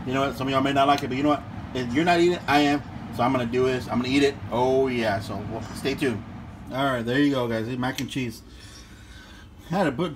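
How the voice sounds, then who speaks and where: A middle-aged man talking casually to viewers while he cooks dinner in his home kitchen, filmed on a handheld phone. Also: medium pitch. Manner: laid-back, chatty, talks to viewers as "guys". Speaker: home cooking vlogger. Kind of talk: casual cooking vlog. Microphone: phone camera held while cooking. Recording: phone recording with cooking noise. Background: frying sizzle and kitchen noise.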